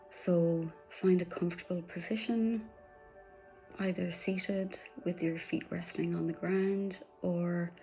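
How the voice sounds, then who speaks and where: Woman meditation guide, soft-spoken with breathy whispers, dark flat delivery. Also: lowish voice, rough recording.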